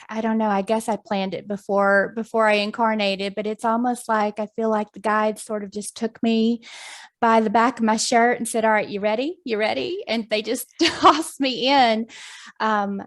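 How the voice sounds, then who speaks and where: A woman from the United States, a guest in a video call interview who talks in a friendly, chatty way with many ums. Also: a little high. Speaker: guest, hypnosis practitioner.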